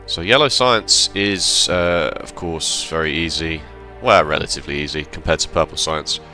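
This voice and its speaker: Man, very deep voice, bright edge, slightly hoarse, casual game commentary, faint background music. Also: moderate pace. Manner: relaxed, rambling with self-corrections. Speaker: let's play host.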